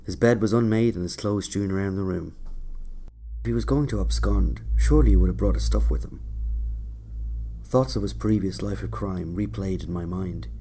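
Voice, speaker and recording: A man's voice: low-pitched, steady tone, normal speed, dark tone, slight background noise. A man narrating a scripted first-person story in an audio drama. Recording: music under the voice. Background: music.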